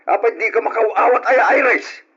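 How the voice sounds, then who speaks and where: A stubborn, domineering father in an old radio drama. He is gruff and dismissive, with a rough, husky edge to his voice. The recording is dull and lo-fi. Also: extremely high pitch, normal speed, a bit bright, full character voice. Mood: gruff, scornful. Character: stern, stubborn middle-aged father, scornful and domineering.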